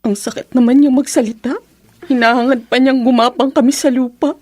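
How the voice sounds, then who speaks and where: An actress playing a devoted, long-suffering young wife in a Philippine radio drama, earnest and gentle, with a Filipino accent. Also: female voice, sits high, steady tone, brisk, no echo. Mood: hurt. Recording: dry studio with music underneath.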